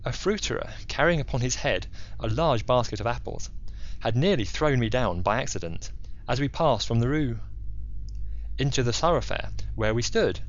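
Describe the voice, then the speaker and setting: Man, literary audiobook narration, formal storytelling, expressive pitch movement, somewhat dull recording. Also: a little hiss or hum.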